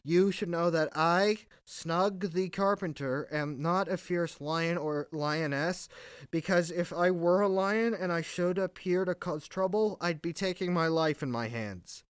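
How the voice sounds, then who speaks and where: Man acting careful, smooth-voiced amateur stage lion. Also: high-pitched, a little slow, dry recording, studio quality. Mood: earnest, reassuring. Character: timid carpenter playing a polite, reassuring stage lion. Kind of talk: modernized Shakespeare audio drama comedy.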